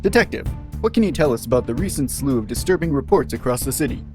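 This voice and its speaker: A man's voice: mid-range voice, lively intonation, fast, over music, natural voice. A man playing a probing news reporter in an audio drama, with polished, pointed delivery.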